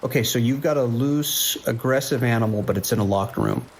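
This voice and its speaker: A man playing a dry, weary deputy at a dispatch desk in an audio drama, steady and laconic. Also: medium pitch, fairly quick, a little hiss or hum, natural voice. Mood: concerned, probing. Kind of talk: audio drama phone dialogue.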